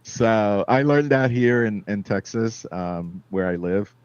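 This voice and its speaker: A man's voice: lowish voice, slow, clear, resonant tone, dry recording. A man from New York City with a Spanish accent, hosting a casual podcast chat, rambling and laughing as he talks. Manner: rambling, warm storytelling with frequent laughs and restarts. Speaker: podcast host. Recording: dull lo-fi dry sound.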